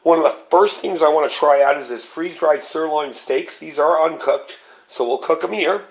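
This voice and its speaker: A man's voice: a little high, normal speed. A man with a slightly scratchy voice, getting over a cold, hosting a casual cooking vlog and explaining each step as he works. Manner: relaxed, explains step by step while handling gear. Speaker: channel host.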